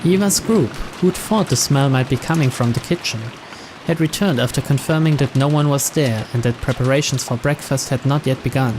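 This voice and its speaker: A man's voice: medium pitch, a little fast, noisy background. A man reading scripted narration aloud from a visual novel. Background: game music.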